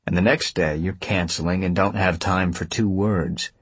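Audiobook role: male narrator as steady, patient man who firmly presses his point, lowish voice, dry close sound. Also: moderate pace, slightly rough, no room sound. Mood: probing. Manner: direct, steady, firm. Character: calm, stubborn, patient man pressing for answers.

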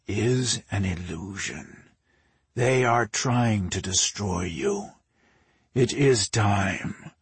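A man voicing a sinister dark magical entity in a slow, commanding hiss for a fantasy audiobook. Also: on the low side, no echo, pitched below the performer's own voice, full character voice. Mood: menacing, commanding. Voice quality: hissing, breathy whisper.

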